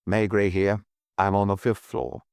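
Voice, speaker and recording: A man reading the part of a calm chief inspector in a detective audiobook, questioning with measured, quiet persistence. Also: on the low side, creaky, clean tone, no room sound, studio quality. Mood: businesslike. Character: calm, probing male police chief inspector.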